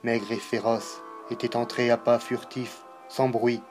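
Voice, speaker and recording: A man giving a solemn, dramatic poetry recitation, declaiming in measured phrases with pauses. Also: medium pitch, little pitch movement, soft background music.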